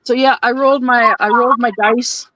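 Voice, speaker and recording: A woman's voice: fairly high pitch, fairly even intonation, a little fast, sharp tone, dull audio. A woman hosting a casual live stream while crafting, chatty and giggly, laughing between her rambling phrases. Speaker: livestream host.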